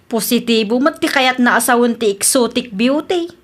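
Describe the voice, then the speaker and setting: A woman's voice: a little high, moderate pace, close to an everyday voice. A Filipino-accented woman playing a teasing, exclamatory unmarried daughter in a comedic radio drama. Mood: probing. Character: teasing unmarried daughter chatting with her father.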